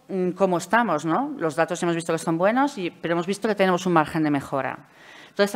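A middle-aged woman with a Valencian Spanish accent giving a conference presentation from a table mic, measured and explanatory with frequent hesitation fillers. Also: lowish voice. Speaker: speaker at a public administration conference. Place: conference hall, seated at a table. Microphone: table microphone.

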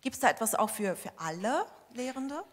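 A woman with a fairly high voice speaks up from a lecture audience. She comments and asks spontaneously, her words echoing in a large reverberant hall. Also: big pitch swings, normal speed. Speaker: audience member asking a question.

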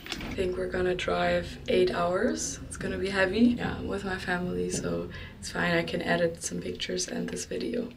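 A woman's voice: fairly low pitch, moderate pace, gravelly, heavy background noise. A young woman in her twenties talking casually to a handheld camera in a hotel room, upbeat and chatty, leaning on words like "literally" and "really". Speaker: vlogger. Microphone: handheld camera at arm's length.

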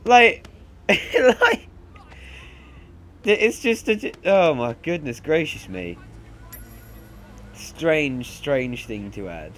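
A man's voice: fairly high pitch, very animated, very slow, long pauses, a bit bright, slight background noise. A young man with a stuffy nose giving sarcastic, sweary, chatty commentary over a video game. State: congested. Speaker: let's play commentator. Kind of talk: casual gameplay commentary.

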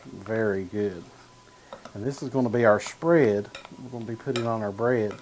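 A man's voice: lowish voice, normal speed, a little mellow, slight background noise. A man in his thirties hosting a cooking show, demonstrating a recipe in a studio kitchen in an easygoing way with frequent "uh"s. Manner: easygoing, talks while stirring and slicing, frequent "uh" and "gonna". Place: home-style studio kitchen, indoors.

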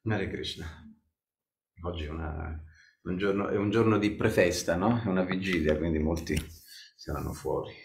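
A man's voice: low-pitched, normal speed, gravelly, long pauses, a little mellow. A middle-aged man with an Italian accent speaks informally as a spiritual teacher leading a devotional class over a video call. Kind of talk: informal religious talk. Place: small indoor temple room. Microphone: video call, a little way from the device. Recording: echoey room on video call.

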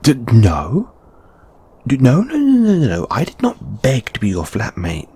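A man voicing a huffy, easily flustered male lamia flatmate in an intimate audio roleplay, halting and defensive. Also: fairly low pitch, slightly rough, heavily acted. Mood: flustered denial. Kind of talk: intimate one-sided audio roleplay acting.

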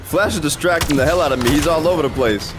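A man's voice: on the high side, fast talker, over music. A young man giving casual, slangy gameplay commentary with lots of swearing. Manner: slangy, swearing, laid-back reactions. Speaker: gaming streamer.

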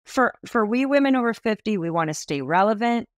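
A woman in her fifties, a stylist being interviewed on a podcast over a video call, telling stories with lively enthusiasm. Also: on the high side, fairly quick, no echo. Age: 50s. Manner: animated, enthusiastic storytelling with expressive hand gestures. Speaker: guest stylist. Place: small indoor room.

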